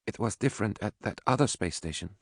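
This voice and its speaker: A man voicing a soft-spoken wistful woman. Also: fairly low pitch, animated, fast, dry recording, studio quality, voice pushed up. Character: quiet, thoughtful woman, a teacher.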